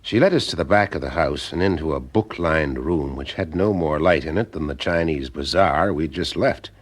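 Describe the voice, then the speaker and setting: Actor as a deep, husky private eye. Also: male voice, moderate pace. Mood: wry, narrating. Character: male private eye, wry, observant, informal. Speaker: lead actor and narrator in a detective audio drama. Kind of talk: first-person detective narration and dialogue.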